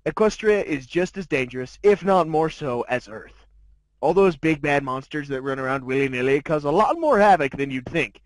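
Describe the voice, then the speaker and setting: A man's voice: fairly high pitch, expressive, normal speed, no echo, natural voice. A man voicing a snobby, sardonic diamond dog who narrates his life story in a haughty, jaded, wry manner. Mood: grim, sardonic. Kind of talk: dramatic fan fiction narration.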